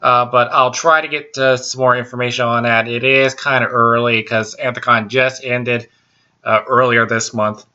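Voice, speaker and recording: A man hosting a casual solo show, talking slowly with lots of ums, uh's and restarts, in a baritone voice. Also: level tone, bright, forceful tone, muffled recording. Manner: slow and halting, many ums and restarts. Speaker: podcast host.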